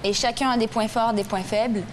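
A woman's voice: on the high side, fairly even intonation, brisk, slight background noise. A young woman from Canada, a studio guest answering questions in a friendly, earnest way with some restarts. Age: young adult. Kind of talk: casual interview answers. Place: large TV studio with live audience. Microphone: lapel.

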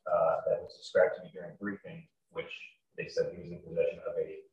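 A man's voice: sits low, level tone, fairly quick, a little mellow, lo-fi sound. A young man testifying as a police officer in court, formal, terse and matter-of-fact in procedural phrasing. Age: young adult. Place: courtroom, heard over a video call. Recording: distant, echoey, faintly noisy courtroom video call.

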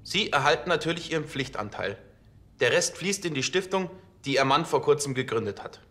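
A man's voice: a little high, expressive, fairly quick, bright, forceful tone. An actor playing a policeman who poses as a notary, speaking formally and hesitantly in a TV drama. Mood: nervous, formal.

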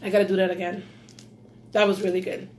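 A woman's voice: medium pitch, flat delivery, quick pace, a little mellow, slight background noise. A woman in her thirties from Belize with a slight Belizean accent, chatting casually in an eating vlog at home, savoring food with frequent mm's. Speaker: mukbang vlogger. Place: small indoor room at home.

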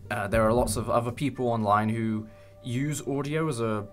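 A young man chatting casually in an interview, hesitant and rambling with many pauses. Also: mid-range voice, fairly even intonation. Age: young adult. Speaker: creator of a fan audio drama. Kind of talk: casual behind-the-scenes interview. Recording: light music bed under speech. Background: background music with guitar.

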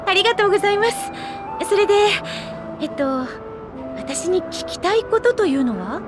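A woman playing an eager, chatty young researcher in a Japanese video game, earnest, polite and expressive. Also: extremely high pitch, moderate pace. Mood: apologetic, eager. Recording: studio voice over loud music.

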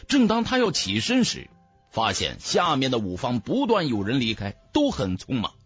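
A man narrating an audiobook in a dramatic, theatrical, expressive storyteller's manner. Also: mid-range voice, normal speed, breathy edge, faint music behind.

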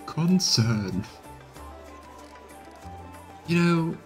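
A man's voice: fairly high pitch, very animated, extremely slow. A man in his thirties streaming a game, commenting casually and reading dialogue aloud. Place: small room indoors, on webcam. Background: game music.

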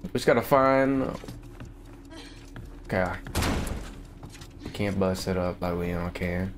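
A man's voice: low voice, slow, noisy background. A young man giving loose, profane let's-play commentary from a home gaming setup with a webcam. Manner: loose, slangy, profane, excitable. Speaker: gaming streamer.

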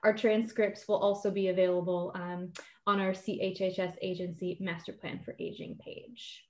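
A woman's voice: lowish voice, little pitch movement, moderate pace. A woman moderating an online meeting, reading logistics announcements in a friendly way with a few fillers. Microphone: video call. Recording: muffled video call audio.

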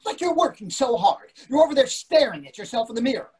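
A man's voice: extremely high pitch, big pitch swings, fast talker, darkish tone, slightly rough, exaggerated voice. A man in his sixties playing an irritable, sarcastic husband in a readers theatre comedy over a video call. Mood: indignant. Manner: sarcastic, exasperated. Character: irritable, indignant older husband dining out.

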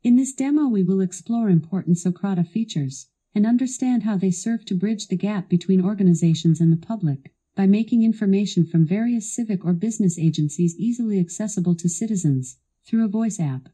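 Very bright synthetic-style female assistant voice. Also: medium pitch, fairly quick, hardly any pauses. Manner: clearly enunciated, instructional, polished. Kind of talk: scripted narration for a product demo.

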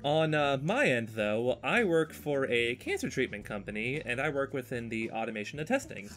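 A man talks casually as a podcast host, his pitch moving around a lot and his tone bright and forward. He is talkative and jokingly exasperated. Soft music plays underneath. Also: expressive, moderate pace. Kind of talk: casual podcast chat while drawing.

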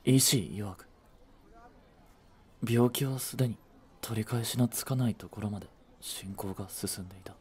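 A man playing a blunt, devoted young boyfriend in a romantic voice drama, with a breathy voice and heavy emotion. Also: lowish voice, normal speed, pauses often, a little mellow, natural voice. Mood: numb, hushed narration. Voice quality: breathy, slightly husky. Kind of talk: romantic Japanese voice drama acting.

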